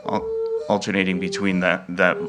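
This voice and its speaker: A man giving an informal presentation on music, halting a little as he thinks his way through. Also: deep voice, little pitch movement, noisy background. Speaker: event presenter.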